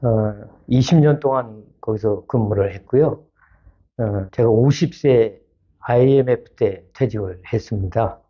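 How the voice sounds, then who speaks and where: A man in his seventies recounting his working life in a conversational interview style, measured, with hesitant pauses. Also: on the low side, dull audio. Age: 70s. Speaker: retired auto-parts executive, channel creator, interviewee. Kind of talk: conversational personal account in an interview.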